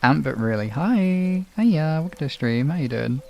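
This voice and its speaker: A man's voice: on the high side, animated, moderate pace, vocal fry, dull audio. A British man chatting casually on a livestream, replying to viewers in an informal, rambling, sweary way. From United Kingdom. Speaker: livestream host.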